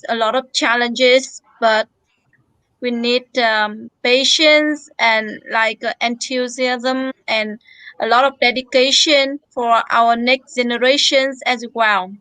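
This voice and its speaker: A woman's voice: fairly high pitch, steady tone, slow pace, sharp tone, clean tone, dry recording, poor audio. A woman on a group call speaking hesitant, non-native English with frequent fillers. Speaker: participant. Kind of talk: casual group video call.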